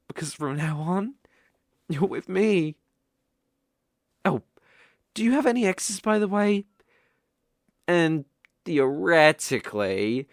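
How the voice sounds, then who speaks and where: A man's voice: fairly high pitch, no room sound, studio quality, full character voice. A British man playing a sly, possessive, lovestruck fox-spirit mage in an ASMR audio roleplay, coaxing and easily flustered. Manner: coaxing, slow, with eager flustered outbursts.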